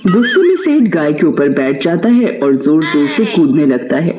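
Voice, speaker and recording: A man's voice: on the high side, big pitch swings, moderate pace, music in the background. A man expressively narrating a scripted children's story, with a roomy echo on his voice.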